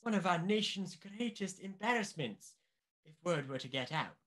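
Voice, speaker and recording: An actor playing a pompous, anxious upper-class government official in a mystery radio play, speaking deliberately and emphatically. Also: male voice, high voice, expressive, a bit bright. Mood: alarmed, urgent.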